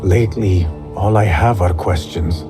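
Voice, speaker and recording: A brooding young assassin in a game cutscene, earnest and guarded with quiet intensity. His voice sits low. A loud musical score plays behind him. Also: a little slow, over loud music. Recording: loud score under dialogue.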